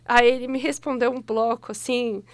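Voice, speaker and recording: A young woman from Brazil co-hosting a radio show, telling stories in a lively, laughing way. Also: on the high side, moderate pace, no echo. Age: young adult. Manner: animated storyteller, laughs often. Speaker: radio co-host. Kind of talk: casual chat. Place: radio studio.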